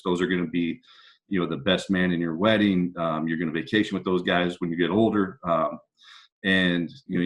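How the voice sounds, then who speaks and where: An American man speaking as a football coach, answering interview questions in a casual, reflective and halting way. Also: low voice. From California, United States.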